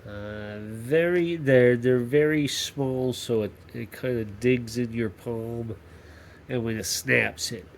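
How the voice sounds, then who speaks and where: A man's voice: mid-range voice, slow, slight background noise. A man with a stuffy nose from a cold, rambling and joking as he hosts a workshop vlog, often cutting himself off mid-sentence. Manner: rambling, self-interrupting, jokey. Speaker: YouTube maker channel host. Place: home workshop.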